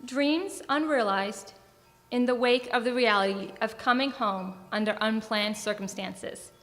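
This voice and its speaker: High-voiced young American woman in reverberant hall. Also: a little slow, fairly bright tone, soft background music. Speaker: military veteran performer. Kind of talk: reading a personal essay on stage. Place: theatre stage in an arts center.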